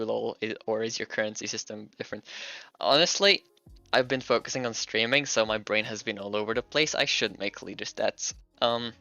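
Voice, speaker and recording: A man's voice: lowish voice, sharp tone, clear, resonant tone, no room sound, dull audio. A man from Hungary, a native Hungarian speaker, chatting casually on a livestream while he works, thinking aloud and laughing often. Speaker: streamer and game developer.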